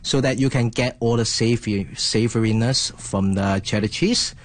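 A man's voice: mid-range voice, normal speed. Man explaining his recipe. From Hong Kong. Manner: earnest, explanatory, with small grammar slips. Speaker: guest chef. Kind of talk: explaining a recipe in a studio interview.

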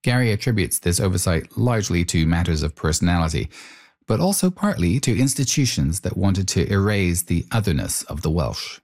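A man's voice: low voice, expressive, normal speed, a little mellow. A man working as interviewer and narrator, speaking in a documentary style.

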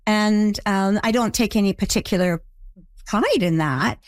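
Woman guest, medium pitch, dry room, talks in measured halting bits, kinda reflective. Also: no echo. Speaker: interview guest, television creator. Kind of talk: reflective conversation.

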